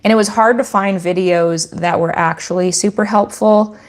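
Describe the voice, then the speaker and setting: A woman's voice: mid-range voice, fairly even intonation, moderate pace. A woman with a Canadian accent who hosts a channel about her goat farm, chatting in a rambling, teacherly way during a livestream Q&A. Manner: rambling with frequent restarts. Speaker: goat farmer and channel host. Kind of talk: casual livestream chat and Q&A.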